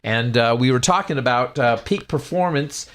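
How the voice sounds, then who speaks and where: A man's voice: medium pitch, normal speed, fairly bright tone. A man moderating a radio talk show in a conversational, somewhat hesitant way.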